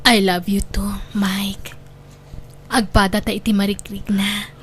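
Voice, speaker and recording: A woman with an Ilocano accent playing a gentle, affectionate middle-aged wife and mother in a sentimental audio drama. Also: mid-range voice, flat delivery, measured pace, slight background noise, lightly acted. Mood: tender, hesitant. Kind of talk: Ilocano radio-style audio drama acting.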